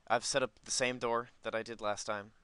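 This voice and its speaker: A man's voice: medium pitch, flat delivery, fairly quick, fairly bright tone, smooth, no room sound. A man giving casual, unscripted gameplay commentary, rambling as he thinks aloud. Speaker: gaming video host.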